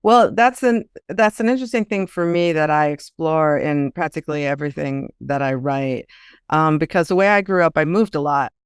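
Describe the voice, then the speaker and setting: A woman's voice: on the low side, smooth, no room sound, studio quality. A woman author speaking as a podcast guest, conversational and reflective, telling things in a storytelling way. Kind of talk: casual conversational interview.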